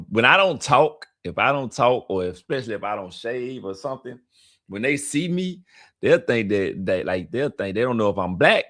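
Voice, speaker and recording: A man with a loud, heavy voice that carries, telling a travel story on a live stream with emphatic, repeating urges. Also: on the low side. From United States. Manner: emphatic, repetitive, preacher-like urging. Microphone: streaming mic.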